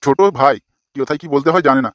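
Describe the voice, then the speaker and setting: A man's voice: medium pitch, fairly even intonation, rapid-fire, a bit bright, dry recording, studio quality, lightly acted. A man voicing an exasperated, foul-mouthed older village father in a comic cartoon, scolding crudely.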